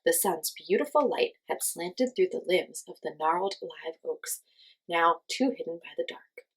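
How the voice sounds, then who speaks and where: Lively, husky woman storyteller. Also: on the low side, unhurried, rough recording. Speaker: host and storyteller for children. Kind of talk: expressive read-aloud storytelling for children.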